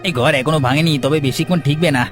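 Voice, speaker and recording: Cartoon villager male actor: blunt, teasing male neighbour, pitched a bit high, light music underneath. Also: quick pace, fairly bright tone, lightly acted. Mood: matter-of-fact. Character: plain-spoken village man, teasing neighbour. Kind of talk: Bengali cartoon dubbing.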